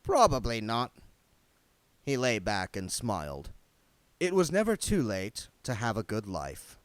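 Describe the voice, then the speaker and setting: A man's voice: fairly low pitch, dry recording. A man reading a novel aloud as an amateur narrator, with dramatic storytelling delivery. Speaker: amateur book reader. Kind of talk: scripted audiobook narration.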